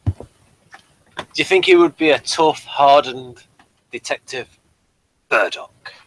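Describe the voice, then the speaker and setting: A man hosting a TV review show in casual, unscripted chat, with a rambling, halting delivery. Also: medium pitch, a bit bright, a little hiss or hum, low-quality recording.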